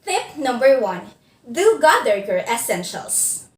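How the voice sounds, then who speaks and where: A woman presenting a scripted instructional video, giving phone interview tips in a measured, clear delivery. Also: a little high, very animated, reverberant, far from the mic.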